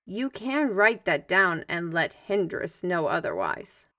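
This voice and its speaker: A woman playing a lively artist mage and storytelling mentor in a fantasy audio roleplay, with formal old-fashioned phrasing and muttered asides. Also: on the low side, slow, full character voice. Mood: animated, indignant.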